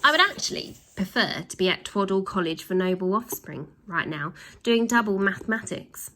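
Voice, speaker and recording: A woman's voice: medium pitch, lively intonation, normal speed, bright, forceful tone, slight background noise, rough recording. A woman voicing tearful, whiny posh schoolchildren in a storybook reading. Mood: whining, miserable. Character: gang of sobbing, blubbering posh schoolchildren.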